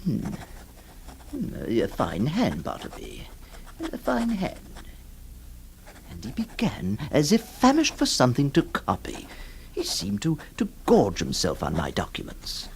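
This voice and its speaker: A man's voice: medium pitch, wildly swooping pitch, unhurried, creaky, slight background noise, heavily acted. An actor playing a flustered, hesitant lawyer who stammers, also narrating his story in a radio drama. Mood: reflective. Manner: stammering, exasperated, theatrical.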